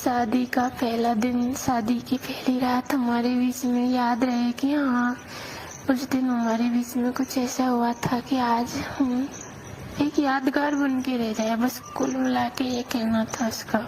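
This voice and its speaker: A woman plays a young newlywed bride confiding in her lover in an audio drama. Her voice is fairly high and unhurried, with little melody and a hesitant, plaintive manner. Clear noise sits behind this amateur call-style recording. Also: little pitch movement. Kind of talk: intimate phone-call storytelling in audio drama.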